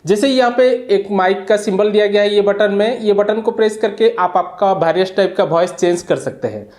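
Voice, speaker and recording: A man's voice: high-pitched, brisk, a little mellow. A man giving a product demonstration and review, explaining plainly step by step.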